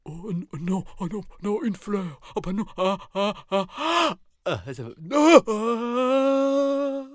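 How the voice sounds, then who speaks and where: A lonely young dragon with a stuffy cold, put on by a man, his voice jumping high and wobbling all over as the sneezes build. He sniffles and squeaks in a storybook way, and the sound is close and dry. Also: sing-song, slow pace, no room sound, exaggerated voice. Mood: sneezing, flustered. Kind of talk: storybook character voice.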